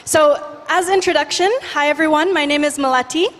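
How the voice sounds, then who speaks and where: A 21-year-old woman from Indonesia giving an energetic, lively talk on a conference stage. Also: sits high, brisk. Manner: lively, guides the audience in breathing. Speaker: youth speaker. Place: large conference hall stage. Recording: reverberant hall.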